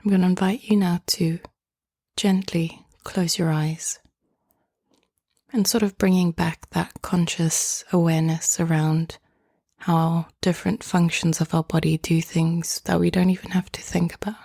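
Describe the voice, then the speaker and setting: A woman guides a meditation in a hushed voice that keeps slipping into a half-whisper. Her tone is mellow and low-set, and it stays very even in pitch. She speaks slowly and gently, inviting the listener along. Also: creaky, breathy edge, no echo, studio quality. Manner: soft, hushed, often half-whispered delivery; gentle, inviting, unhurried phrasing.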